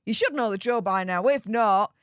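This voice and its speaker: A woman's voice: mid-range voice, normal speed, clear, resonant tone, no room sound, studio quality. A woman with a Yorkshire accent hosting a live stream, reading out donations with perky, gushing enthusiasm. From Yorkshire, England.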